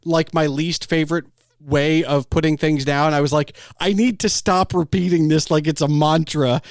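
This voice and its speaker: A man hosting a podcast, chatting casually in an animated, rambling storytelling way. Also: fairly high pitch, moderate pace, no room sound, studio quality. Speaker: podcast host interviewing a guest. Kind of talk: casual conversational chat.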